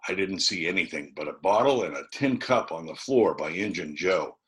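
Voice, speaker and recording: A man voicing a frightened, excited adventurous small-town boy in a storybook, talking in a breathless, folksy rustic way. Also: low voice, moderate pace. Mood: animated. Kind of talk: dramatised storybook reading.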